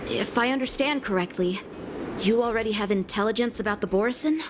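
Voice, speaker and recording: A woman as a high-voiced earnest young officer. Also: brisk, close to an everyday voice. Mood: respectful, hesitant. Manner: polite, earnest, formal. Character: dutiful young female officer questioning her general. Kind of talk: game cutscene dialogue. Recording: clear background noise, vehicle ambience.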